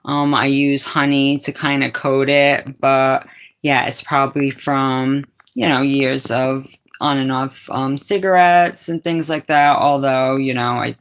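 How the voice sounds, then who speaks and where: A middle-aged woman from Brazil with a raspy, fairly low voice, chatting casually with viewers on a livestream and calling them sweetheart and darling. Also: level tone, measured pace. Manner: laughs often, calls viewers sweetheart and darling. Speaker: livestream host. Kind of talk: casual chat answering viewers.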